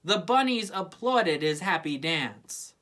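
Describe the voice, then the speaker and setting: A woman narrating a children's storybook in an expressive scripted reading style. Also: fairly low pitch, slow pace. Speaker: storybook reader.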